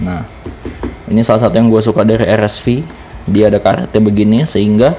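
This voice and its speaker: A man's voice: fairly low pitch, dark tone. A man with a Jakarta Indonesian accent giving a casual product review, explaining things informally step by step as a vlogger.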